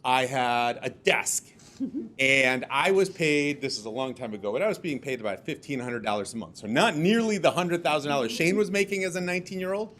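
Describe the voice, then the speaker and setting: A man's voice: fairly high pitch, fairly quick. Joking man from Chicago's south suburbs. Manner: joking and animated, plays to the audience. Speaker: franchise executive, panelist. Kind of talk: joking storytelling on a live podcast panel. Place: office podcast studio with live audience.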